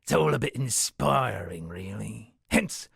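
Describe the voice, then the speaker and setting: An actor voicing an eccentric washed-up sea captain and would-be poet in a video game, gravelly and husky, with theatrical, rambling, florid delivery. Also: male voice, medium pitch, animated, measured pace, a bit bright, no room sound, studio quality, heavily acted. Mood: ominous, wry. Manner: theatrical, rambling, florid old seafaring turns of phrase. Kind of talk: video game character dialogue.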